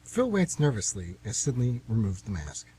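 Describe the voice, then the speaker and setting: A man's voice: medium pitch, sing-song, normal speed, mellow, a little hiss or hum. A man reading scripted narration as the narrator of a radio play.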